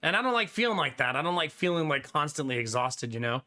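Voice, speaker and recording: A young adult man streaming live, chatting casually with his viewers, animated and talkative, often laughing. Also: fairly high pitch, fast.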